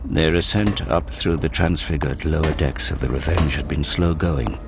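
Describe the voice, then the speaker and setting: A man's voice: extremely low pitch, moderate pace, noisy background. A man giving grave, intense scripted narration for an audio drama. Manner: intense, grave, literary delivery. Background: prominent music and sound effects.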